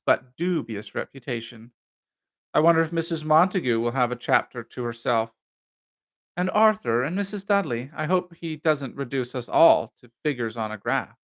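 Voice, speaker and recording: A man voicing a playful, courteous young woman with an arch, quick wit in an audiobook novel. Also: fairly high pitch, fairly bright tone.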